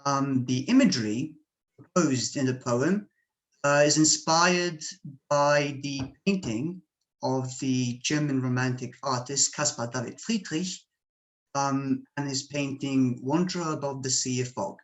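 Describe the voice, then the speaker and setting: A man from Bosnia whose first language is Serbian, reading his poetry slowly and deliberately at an online open mic over a home computer microphone. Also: mid-range voice, rough recording. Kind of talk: slow measured poetry reading.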